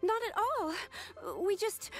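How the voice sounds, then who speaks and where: A woman voicing an eager, flustered young office secretary in an anime game, with an excitable manner. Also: extremely high pitch, slow, soft background music, heavily acted. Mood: apologetic.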